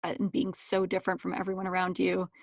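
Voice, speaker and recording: A woman's voice: on the low side, completely flat delivery, fast, gravelly, no room sound, studio quality. A woman interviewing her guest, asking questions in an attentive, encouraging way. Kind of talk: interview questions and listening cues.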